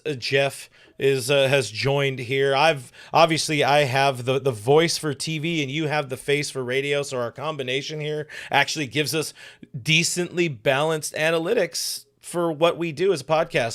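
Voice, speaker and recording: A man's voice: fairly high pitch, a bit bright. A man co-hosting a sports podcast in casual, joking chat, with lots of filler words as he talks. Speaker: podcast co-host.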